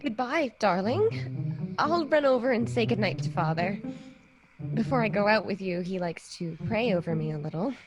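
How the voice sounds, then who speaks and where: An actress playing a playful, teasing young fiancée in an amateur audio drama. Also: female voice, medium pitch, expressive, moderate pace, a crowd in the background, natural voice. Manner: light, teasing.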